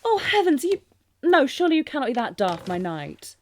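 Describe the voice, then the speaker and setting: A woman's voice: sits high, sing-song, fairly quick. A woman playing a refined, earnest young fantasy princess in a romantic audio roleplay, speaking with theatrical exclamations and genteel, old-fashioned phrasing. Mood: exasperated love confession.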